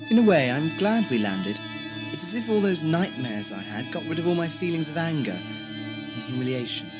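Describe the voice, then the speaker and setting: A man plays a weary, sceptical companion in a 1980s audio drama. His delivery is sardonic with lively pitch movement. Loud music plays behind him. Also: moderate pace. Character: Greg, weary, sceptical young male travelling companion.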